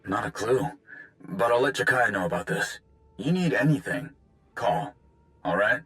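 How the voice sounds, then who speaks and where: An actor playing an anxious, hurried comrade calling in with news in a video game, urgent and informal. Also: male voice, medium pitch, lively intonation, bright, forceful tone, faint music behind. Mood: brisk. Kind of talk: video game cutscene acting.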